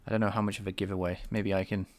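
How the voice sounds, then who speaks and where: A man's voice: deep voice, level tone, rapid-fire. A man with a light Scouse accent, live-streaming game programming and chatting with viewers while he works. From England. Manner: thinks aloud, answers chat, enunciates carefully. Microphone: desk microphone.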